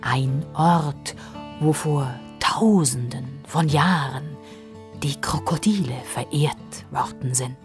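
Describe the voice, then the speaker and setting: A woman voicing a small, mysterious elderly male professor, solemn and drawn-out, sometimes whispery, in a children's story. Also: sits low, soft background music.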